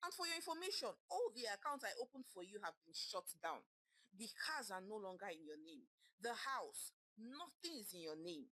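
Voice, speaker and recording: A woman with a Nigerian accent voicing an angry, betrayed wealthy husband who shouts his accusations with a cracking voice. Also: mid-range voice, big pitch swings, moderate pace, no echo, poor audio. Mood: cold, furious.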